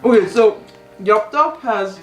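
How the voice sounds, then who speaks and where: A woman hosting a food vlog, explaining each dish to her viewers in a relaxed, casual way. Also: mid-range voice, a little slow. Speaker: vlog host. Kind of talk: casual chat over food. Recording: echoey room with faint music.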